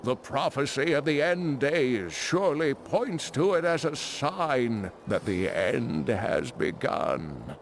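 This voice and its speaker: An actor playing an elderly, earnest scholar in a fantasy game, creaky-voiced and deliberate, like a solemn storyteller. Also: male voice, mid-range voice, sing-song, a little hiss or hum. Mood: grave. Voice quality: creaky, aged. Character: elderly male scholar, kindly, earnest, prophecy-obsessed. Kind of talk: theatrical fantasy game dialogue.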